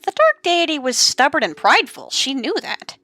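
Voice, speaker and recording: A woman's voice: sits high, sing-song, normal speed, pushed and bright, no room sound, faint music behind. A woman giving dramatic scripted narration as the narrator of an audio drama.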